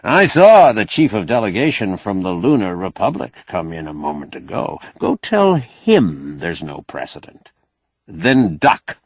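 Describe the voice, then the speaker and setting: Man acting a crusty, bossy old man, lively delivery. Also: low voice, a little slow, slightly rough, no room sound, heavily acted. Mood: sardonic, lecturing. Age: older. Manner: blunt, folksy, sardonic, commanding.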